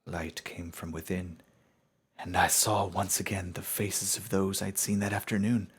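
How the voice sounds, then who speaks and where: A man giving a measured, solemn dramatic narration of a fantasy story, as in an audiobook. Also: sits low, level tone, husky.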